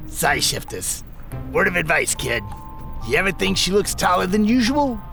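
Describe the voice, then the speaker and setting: A man's voice: high voice, very animated, measured pace, vocal fry, music in the background, exaggerated voice. A man playing a grouchy, streetwise older henchman supervisor in an audio drama, slangy and sardonic. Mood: grumbling.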